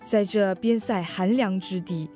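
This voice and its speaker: A woman's voice: mid-range voice, unhurried, mellow, soft background music, lightly acted. A teenage girl playing an earnest, patriotic male soldier in a student radio drama, speaking formally.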